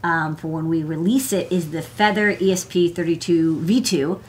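A woman's voice: fairly low pitch, steady tone, moderate pace, a little hiss or hum. An American woman, thirties or forties, hosting a casual live hardware show-and-tell in a rambling, chatty way full of "like" and "you know". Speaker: host, electronics engineer. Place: indoor desk workspace.

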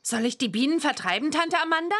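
High, lively young witch girl. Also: quick pace. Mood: eager, helpful. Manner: bright, exclamatory, helpful. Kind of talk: children's cartoon game voice acting.

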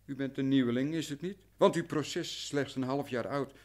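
Guy playing a long-suffering deferential man in a radio drama, kinda anxious, explains everything in detail, middle pitch. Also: normal speed, darkish tone, close to an everyday voice. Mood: earnest, resigned. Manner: wordy, meticulous recounting. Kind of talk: radio drama acting in Dutch.

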